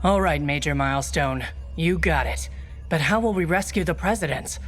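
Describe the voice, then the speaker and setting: A woman's voice: sits low, moderate pace. A woman playing an earnest, easily exasperated secret agent in a comic video game, melodramatic and incredulous. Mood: businesslike. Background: game music.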